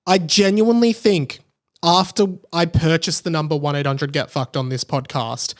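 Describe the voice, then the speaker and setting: A man's voice: a little high, moderate pace. An Australian man hosting a solo podcast in a casual, rambling way, often interrupting himself and drifting into tangents. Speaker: podcast host.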